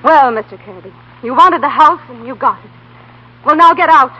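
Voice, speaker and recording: A woman's voice: high voice, animated, normal speed. An actress playing an earnest, emotional young woman in a 1930s radio melodrama. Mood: angry, hurt. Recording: reverberant, muffled 1930s radio broadcast.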